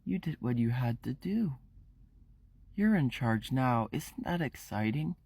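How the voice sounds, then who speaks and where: A man doing a soft-spoken, creepy clingy boy. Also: mid-range voice, measured pace, slightly rough, dry recording. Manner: soft, sugary, unsettlingly calm.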